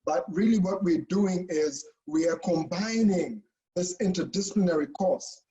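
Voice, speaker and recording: A man's voice: sits high, moderate pace, warm tone, muffled recording. A man from Kenya, a professor talking casually in an online info session, with restarts and a habit of asking "okay?". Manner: chatty, frequent "okay?" and restarts.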